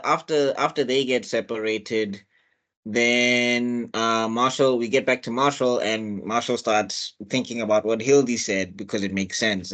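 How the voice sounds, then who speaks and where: Male co-host, loose rambling recap delivery, mid pitch. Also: a bit bright. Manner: rambling, halting with many fillers and restarts. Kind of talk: casual chat.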